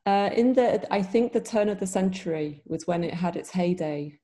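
Yorkshire woman guest, London-tinged northern accent, low warm voice, relaxed and thoughtful. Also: moderate pace. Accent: Yorkshire with some London. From Yorkshire, England. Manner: relaxed, thoughtful, many ums. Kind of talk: casual interview chat.

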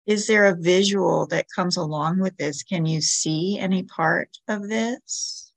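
A woman with a lowish voice guides listeners through a meditation. Her instructions are soothing and gentle, spaced by long pauses, with noticeable fry in the voice. The sound is close and dry. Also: no room sound. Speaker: podcast host, meditation guide.

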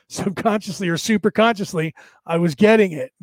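A man hosting a casual livestream, rambling through spiritual musings with many restarts, his slightly raspy voice tiring. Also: sits high, moderate pace, dry recording. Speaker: podcast host. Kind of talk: casual livestream monologue answering viewer comments.

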